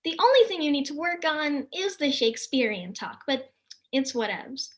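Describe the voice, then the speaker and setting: A woman voicing a playful female fairy disguised as an old woman, with drawn-out, sing-song, cheeky speech. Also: high voice, very animated. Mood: bubbly.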